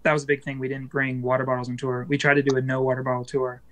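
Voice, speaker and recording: A man, a band musician, chatting casually as a guest in an interview, rambling with plenty of fillers and quick laughs. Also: mid-range voice, level tone.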